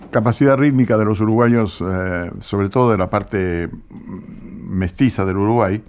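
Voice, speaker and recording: Radio commentator, man, low voice, patient explainer. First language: Italian. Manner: unhurried, explanatory, with small hesitations. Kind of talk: radio talk on music.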